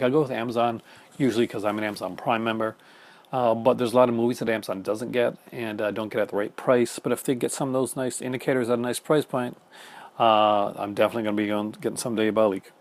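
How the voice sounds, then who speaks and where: A Canadian man talking fast and casually to the camera at home, with many fillers and restarts. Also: fairly low pitch, fairly even intonation. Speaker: film collector YouTuber. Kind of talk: casual chat reading viewer comments. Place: home room in front of film shelves.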